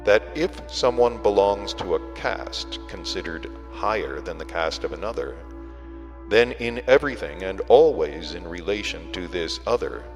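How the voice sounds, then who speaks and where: Deep-voiced man reading in a reverberant space. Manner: deliberate phrasing with frequent pauses. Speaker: audiobook reader. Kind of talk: scripted literary narration. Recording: reverberant hall sound with music.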